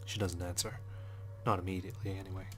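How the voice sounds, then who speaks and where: A man reading as a brooding police detective who narrates a visual novel in a measured, solemn way. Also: deep voice, level tone, a bit bright. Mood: somber. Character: brooding, guilt-ridden male police detective narrating inwardly. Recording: music behind the voice.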